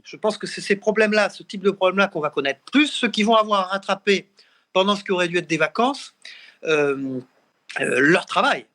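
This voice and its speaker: A man's voice: sits high, expressive, fairly quick, pushed and bright. A man from Bordeaux speaking as a panel guest, hesitant and repeating words as he thinks. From Bordeaux, France. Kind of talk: panel discussion by video call.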